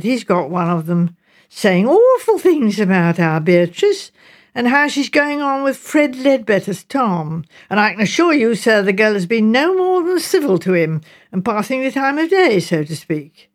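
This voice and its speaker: A woman's voice: fairly high pitch, pitched above the performer's own voice. A woman voicing a garrulous, indignant working-class village mother in an audiobook, deferential but rambling on in run-on sentences. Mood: indignant, defensive.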